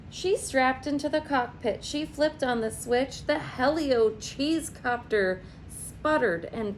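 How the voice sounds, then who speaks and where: A woman reading a picture book aloud to young children as a teacher, expressive and pausing to ask them questions. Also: on the high side, creaky, a little hiss or hum, rough recording.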